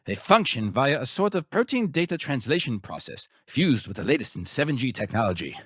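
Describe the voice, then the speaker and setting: Wildly animated, pompous mad scientist. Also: male voice, medium pitch, brisk, husky, dry recording, clean studio sound. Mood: explanatory, pompous. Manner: theatrical, pompous, verbose. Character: eccentric, grandiose mad scientist man, secretly villainous. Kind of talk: video game character voice acting.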